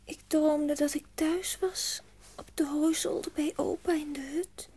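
A woman's voice: sits high, steady tone, measured pace, warm tone. A woman playing a sad, homesick little girl with a plaintive, childlike manner in a children's audio play. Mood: homesick, wistful. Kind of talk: children's radio play acting.

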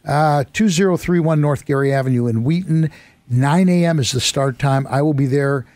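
A man hosting a talk radio show from a studio, his voice hoarse and worn as he loses it. He chats along casually, jokes at himself and rambles between the announcements. The sound is dry and close, with no room around it. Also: fairly high pitch, normal speed, a little mellow, no room sound. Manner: chatty, self-deprecating, rambling. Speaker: radio host. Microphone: studio microphone.

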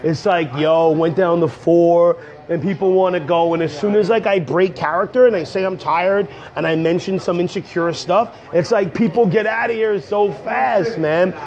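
A young man, hoarse from a cold, rambling casually to viewers on a livestream from a coffee shop. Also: high voice, moderate pace, crowd noise. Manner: rambling, slangy, talks straight to viewers. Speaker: comedian livestreaming. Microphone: earbud headset mic on a phone. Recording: dull lo-fi phone stream.

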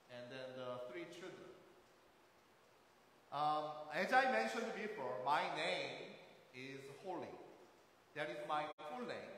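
A man in his thirties with a Korean accent preaches as a guest pastor, speaking in a careful, measured way. His voice sits fairly high for a man. It rings through a large, reverberant church sanctuary, picked up from a distance with a faint hiss. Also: sharp tone. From South Korea. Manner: careful, measured delivery with occasional rephrasing. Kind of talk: sermon. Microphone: far camera at back of sanctuary.

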